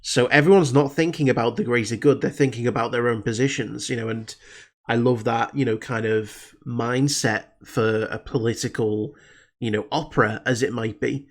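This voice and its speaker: Host británico joven, resfriado leve, tono de charla suelta, voz de altura media. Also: male voice, mid-range voice, steady tone, moderate pace. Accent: British English. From Britain. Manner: rambling, many fillers and restarts. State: slightly congested from a cold. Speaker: reaction video host. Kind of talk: casual review chat.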